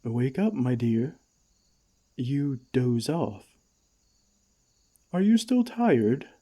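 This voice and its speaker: A man playing a gentle, caring friendly giant in an ASMR comfort roleplay, with tender, old-fashioned, unhurried phrasing. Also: medium pitch, sing-song, heavily acted. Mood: kindly, patient.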